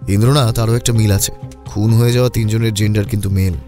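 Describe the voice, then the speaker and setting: A man's voice: lowish voice, fairly even intonation, fast, over music, lightly acted. A man acting as an eager, attentive detective's partner in an audio thriller, inquisitive and thoughtful. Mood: curious.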